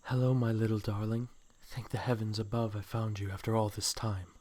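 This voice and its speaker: A man's voice: lowish voice, little pitch movement, a little fast, a little mellow, a little hiss or hum, close to an everyday voice. A man acting a suave, possessive snake man in a comic dub, coaxing with flowery, condescending endearments. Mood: tender coaxing. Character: suave, possessive snake man courting his captive love.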